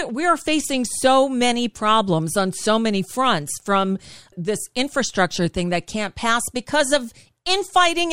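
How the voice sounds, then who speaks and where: A woman's voice: on the high side, a bit bright, clear, resonant tone, no echo. A woman guest-hosting a talk radio interview, chatty and opinionated. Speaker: radio host.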